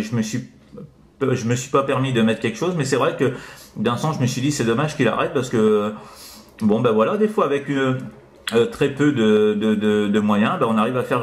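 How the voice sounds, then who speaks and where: A man's voice: mid-range voice, moderate pace. A man chatting casually as an amateur radio operator, hesitant and rambling, often tagging on "hein". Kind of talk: casual radio contact chat. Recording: roomy, echoing room.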